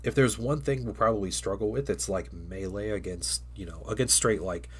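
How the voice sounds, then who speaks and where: A man's voice: fairly low pitch, normal speed, slight background noise. A man getting over a cold, chatting casually on a live stream while painting miniatures, rambling with many fillers and restarts.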